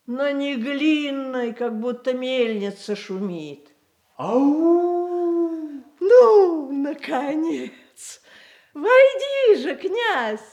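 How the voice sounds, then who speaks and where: An actress playing a sly, merry matchmaker in a radio play, with a folksy, sing-song, exclaiming delivery. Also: female voice, sits high, big pitch swings, very slow, a little mellow, full character voice. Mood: watchful. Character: sly, merry, greedy matchmaker woman chaperoning lovers. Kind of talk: theatrical radio play acting.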